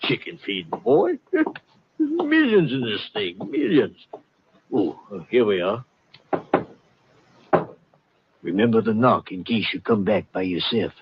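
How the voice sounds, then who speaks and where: A man's voice: on the low side, sing-song, unhurried, noisy background. An actor playing a genial, blustering older con-man colonel in a 1950s radio drama, with courtly, hesitant, folksy patter. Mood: jovial, bustling. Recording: muffled old 1950s radio recording.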